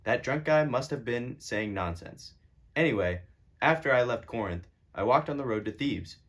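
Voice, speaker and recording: Guy doing a sarcastic hot-tempered king, medium pitch, casual puppet show acting. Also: expressive, normal speed. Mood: uneasy, confiding.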